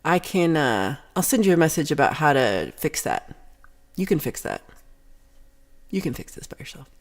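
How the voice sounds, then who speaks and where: A woman's voice: low-pitched, very animated, normal speed. A young woman with a Texan accent who hosts a casual livestream. She reads viewer comments aloud and talks in a rambling, chatty way. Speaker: livestream host reading chat. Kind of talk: casual chat.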